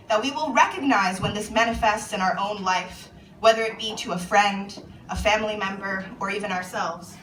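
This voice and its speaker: Bright-voiced American woman reading in an echoey room. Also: fairly high pitch. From United States. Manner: measured, earnest spoken-word delivery. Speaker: author reading her work. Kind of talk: reading a monologue aloud at a live event. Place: event venue indoors with an audience. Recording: echoey room, voice far from the mic, faint noise.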